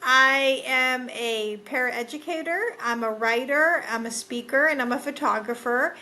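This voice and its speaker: Guest: American woman, higher bright voice, friendly, plain-spoken. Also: measured pace, rough recording. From United States. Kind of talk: casual video-call interview. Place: at home. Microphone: video call.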